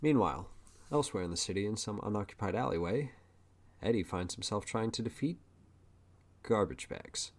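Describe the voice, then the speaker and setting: A man's voice: on the low side, expressive, moderate pace, pauses often. A man reading scripted narration for an audio drama. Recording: guitar music behind the voice.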